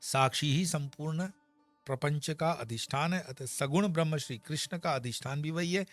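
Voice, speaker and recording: A man's voice: on the high side, normal speed, no echo, faint music behind. A man giving an emphatic spiritual discourse on a scripture, explaining verses with homely examples and scolding asides. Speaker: spiritual teacher. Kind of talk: Gita discourse.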